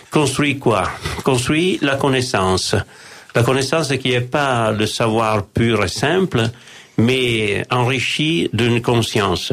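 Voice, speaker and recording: A man with a low, gravelly voice speaks as a publisher in a radio interview. He talks very slowly, in a measured, explanatory way, pausing and restarting often. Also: fairly bright tone. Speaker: publisher, co-founder of a publishing house.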